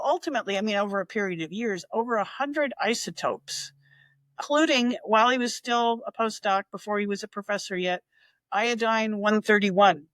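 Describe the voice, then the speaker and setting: A woman's voice: medium pitch, a bit bright, no echo, low-quality recording. A woman in her sixties, a regular contributor, telling a history story in a chatty, enthusiastic way. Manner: enthusiastic, chatty, with fillers and restarts. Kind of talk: casual storytelling.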